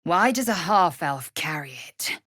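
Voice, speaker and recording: A woman's voice: lowish voice, lively intonation, measured pace, a bit bright, no room sound, studio quality. A woman playing a proud, stern githyanki warrior in a video game, with clipped, imperious delivery and formal, archaic phrasing. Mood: guarded, calculating. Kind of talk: video game character voice acting.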